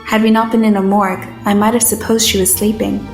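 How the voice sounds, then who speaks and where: A woman playing an observant heroine narrating an audio drama in a roomy echo with music underneath. Her mid-pitched voice is measured and literary, uneasy yet determined. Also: little pitch movement, natural voice. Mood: measured narration. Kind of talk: first-person scripted narration in an audio drama.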